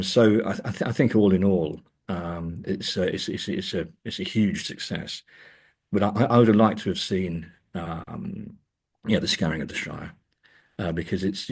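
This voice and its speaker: Low-voiced, slightly husky man. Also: a little mellow. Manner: hesitant, thoughtful, halting delivery. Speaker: interview guest, academic and author. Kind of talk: conversational literary and film discussion.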